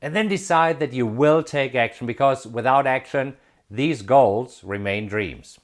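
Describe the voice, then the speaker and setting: A man in his forties giving an emphatic motivational talk, asking rhetorical questions and building excitement. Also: mid-range voice, a little slow. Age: 40s. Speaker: self-help video presenter.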